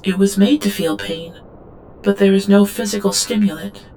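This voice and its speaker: A woman's voice: medium pitch, steady tone, moderate pace, darkish tone, a little hiss or hum, close to an everyday voice. A woman playing an obedient female servant drone in an audio drama, reporting in a stilted, clinical third-person manner. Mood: clinical, subdued. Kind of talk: scripted audio drama monologue.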